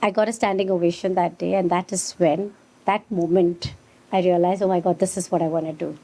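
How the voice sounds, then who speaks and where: Mellow-voiced woman telling her story. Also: lowish voice, little pitch movement, brisk. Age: 50s. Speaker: interview guest, comedian and ventriloquist. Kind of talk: casual chat, telling own story.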